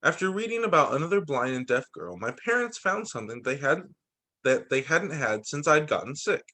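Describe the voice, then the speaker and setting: Guy teacher doing story time for kids, kinda high voice, really animated reading. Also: moderate pace. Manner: animated, explains and checks in with young listeners. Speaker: teacher reading a picture book to students. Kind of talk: expressive children's read-aloud with asides.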